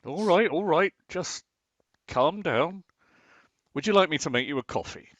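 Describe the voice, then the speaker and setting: A man's voice: fairly high pitch, expressive, measured pace, fairly bright tone, dry recording. A man acting a long-suffering, dryly sarcastic husband in a domestic radio comedy. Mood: sheepish. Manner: dry, exasperated sarcasm.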